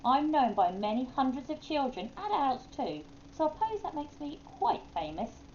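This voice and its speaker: A woman's voice: sits high, sing-song, normal speed, darkish tone, dull audio, a little hiss or hum, pitched above the performer's own voice. A woman voicing a friendly, confident male owl celebrity in a storybook, speaking articulately. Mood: friendly, proud.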